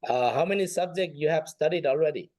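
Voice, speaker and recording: Hesitant, mellow man teaching. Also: on the high side, fast, no echo, clean studio sound. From Nepal. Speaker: Buddhist monk teaching a class. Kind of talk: informal online class teaching.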